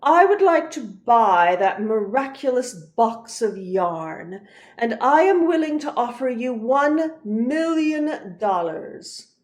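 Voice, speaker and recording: A woman voicing a haughty, demanding archduke in a children's storybook, with imperious, drawn-out emphasis. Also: on the high side, big pitch swings. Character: haughty, demanding archduke, greedy grown man. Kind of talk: children's storybook read-aloud.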